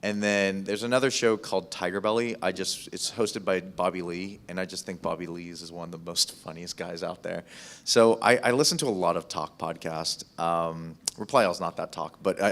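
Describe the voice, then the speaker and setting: A man who produces podcasts, talking casually on a panel in a halting way, often repeating words as he works out a thought. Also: sits low, steady tone, vocal fry, a bit bright.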